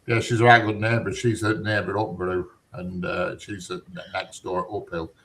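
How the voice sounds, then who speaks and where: A low-voiced, middle-aged man talks in a loose, rambling way. He is cheeky and chatty, full of dialect turns. A faint hiss sits under the recording. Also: flat delivery, moderate pace. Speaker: conversation partner. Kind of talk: casual chat.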